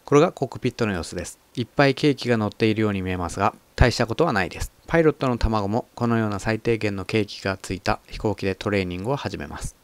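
A man calmly walking through the instruments of a small plane's cockpit in a methodical voice-over. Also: medium pitch, no echo. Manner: calm, methodical, explanatory. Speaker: flight instructor explaining cockpit. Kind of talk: explanatory voice-over narration.

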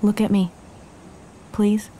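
An actress playing a tender, candid young woman in love in an audio drama, gentle and intimate. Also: mid-range voice, flat delivery, slow, a little hiss or hum, natural voice. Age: young adult. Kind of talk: naturalistic audio drama acting.

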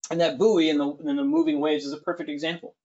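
A man's voice: fairly high pitch, fast, lo-fi sound. Easygoing man hosting a camera webinar. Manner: relaxed, joking, lots of fillers. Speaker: webinar host. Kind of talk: webinar discussion. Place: studio with large screen.

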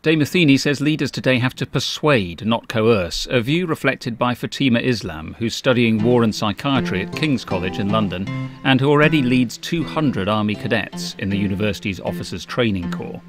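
Male news narrator over loud music. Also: medium pitch, animated, normal speed. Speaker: journalist narrator. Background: loud background music.

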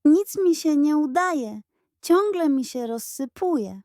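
A moody little girl from a children's audio story, played by a woman. Her voice is high and childish, with a soft tone and a dry recording. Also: lively intonation, slow pace, dry recording, heavily acted. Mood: whiny, frustrated. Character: frustrated little dreaming girl building a castle.

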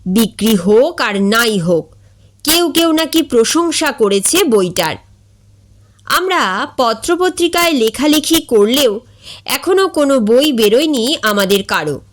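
Slow, lively woman storyteller. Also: on the high side, slight background noise. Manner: expressive, slow and deliberate. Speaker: story reader. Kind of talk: scripted storytelling narration.

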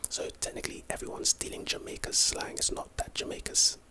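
A man's voice: fairly quick, pushed and bright. A man with a London accent doing casual ASMR commentary, reading and reacting to trivia in a rambling, playful, slangy way. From London, England.

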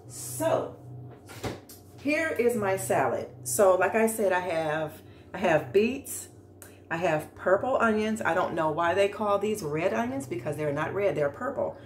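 A middle-aged American woman chatting informally to her viewers in a casual cook-along vlog, recorded in a home kitchen on a camera a short distance away. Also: fairly low pitch. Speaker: vlog host. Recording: echoey room with background noise.

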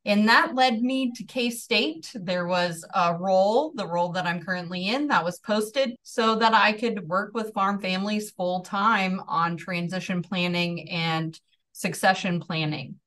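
A woman in her thirties with a general American accent, telling her background in a relaxed, earnest way as an interview guest, with frequent ums. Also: medium pitch, unhurried, far from the mic. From Northeast Georgia, USA. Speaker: farm transition specialist guest. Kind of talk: conversational interview storytelling.

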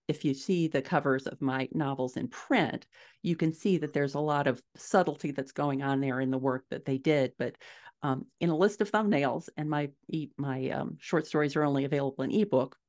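A middle-aged woman giving measured, explanatory answers as a guest author in a conversational interview over a video call. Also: low-pitched. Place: small furnished room at home, indoors.

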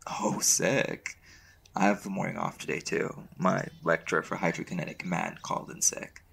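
A man acting as a shy, smitten young college mage in an intimate boyfriend roleplay, speaking casually and haltingly. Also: sits low, steady tone, vocal fry, fairly bright tone, slight background noise, exaggerated voice. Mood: casual, tentative. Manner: hesitant, halting, casual slangy delivery.

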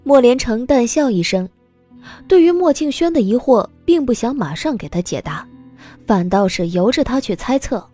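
A woman reading scripted audiobook narration as an expressive storyteller. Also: on the high side, moderate pace, faint music behind.

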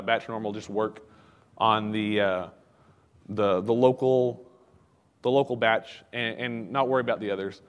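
A man giving a technical conference talk in a casual, halting way, with many fillers and restarts. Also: medium pitch, in a large hall. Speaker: conference speaker. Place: conference hall.

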